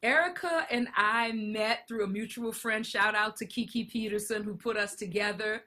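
A woman's voice: mid-range voice, moderate pace, sharp tone. A woman from New Orleans hosting a faith and career podcast interview, upbeat and enthusiastic as she welcomes and questions her guest. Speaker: podcast host.

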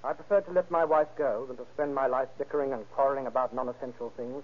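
A man's voice: mid-range voice, steady tone, quick pace, close to an everyday voice. An actor playing a candid, well-to-do middle-aged father in an old-time radio crime drama. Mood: candid, composed. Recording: muffled vintage radio recording.

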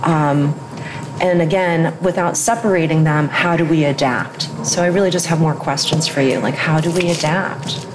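A woman with a low, grounded voice interviews in a reflective way. She muses aloud, piles up rhetorical questions and trails into fillers. There is a steady noise behind her. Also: normal speed. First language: English. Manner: thoughtful, musing, many fillers and rhetorical questions. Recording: noticeable background noise.

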